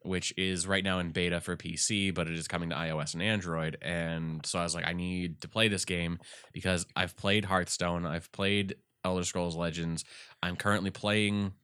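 Low, congested male co-host, bright and clear, dry sound, rambling gamer chat. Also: normal speed, dry recording. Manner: rambling, animated retellings. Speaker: podcast co-host. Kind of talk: casual gaming chat.

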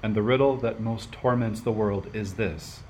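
A man playing a stately, commanding warrior king in a radio play, measured and declamatory. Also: on the low side, a little mellow, slight background noise, lightly acted. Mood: grave, stately. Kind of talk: amateur radio play acting.

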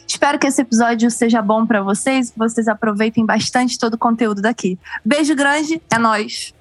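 Joking woman cohost, kinda high voice, casual podcast chat. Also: brisk, no room sound, soft background music. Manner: playful, joking, lively. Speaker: podcast co-host.

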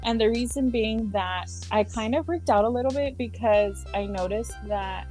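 Young woman from san diego, guest chat, relaxed fillers, faint music behind. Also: on the high side, moderate pace. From San Diego, California. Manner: relaxed, with frequent fillers and soft laughs. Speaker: podcast guest, social worker. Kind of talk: casual interview chat.

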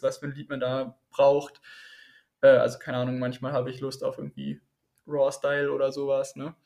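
A man guesting on a podcast, his voice carrying a gravelly fry. He rambles in a relaxed, easygoing way and fills his pauses with filler words. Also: mid-range voice. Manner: relaxed, rambling, filler-heavy, chuckles often. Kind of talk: casual chat.

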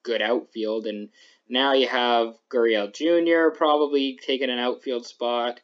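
A young man hosts a casual sports podcast, his talk rambling and full of restarts. His voice sits at an ordinary pitch for a man. The recording sounds dull and lo-fi. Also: fairly even intonation, measured pace. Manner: rambling, self-interrupting. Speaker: podcast host.

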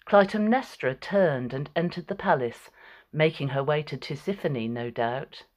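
A woman's voice: low-pitched, unhurried, a little mellow. A woman narrator reading a scripted, dramatic story.

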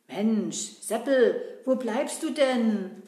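A woman voicing a cheeky, lively puppet boy who chats and teases, talking to the audience. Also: a little high, extremely slow. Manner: chatty, teasing, addresses the audience. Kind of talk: puppet-show acting. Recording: roomy echo.

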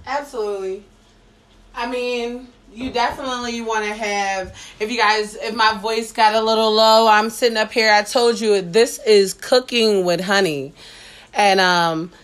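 A woman's voice: on the high side, fairly even intonation, a little slow, a bit bright. Frank, playful woman podcast host. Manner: frank, playful, laughs often. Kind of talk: casual explicit podcast chat. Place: home kitchen, cooking while talking.

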